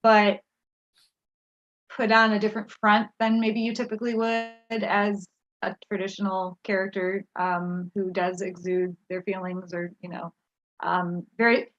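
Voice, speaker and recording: A woman's voice: mid-range voice, monotone, a little slow, fairly bright tone, a little hiss or hum. A woman actor thoughtfully and tentatively explaining her approach in a casual question-and-answer session on a video call. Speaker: actor in a staged reading. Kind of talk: casual post-show Q&A. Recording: slightly dull online call audio.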